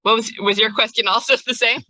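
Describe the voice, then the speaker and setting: A woman's voice: fairly high pitch, steady tone, quick pace, bright, forceful tone, no room sound, low-quality recording. A woman running a tabletop game as game master, chatting casually with her players.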